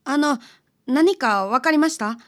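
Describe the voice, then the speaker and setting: A woman's voice: high voice, unhurried. A woman playing a polite, tender-hearted detective's client with a Kansai accent in a radio drama. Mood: puzzled, hesitant. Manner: polite, hesitant.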